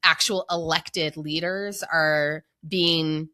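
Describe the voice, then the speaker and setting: Interview guest: American woman, low but bright, forceful voice, deliberate conversational delivery. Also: steady tone. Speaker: podcast guest, local organizer.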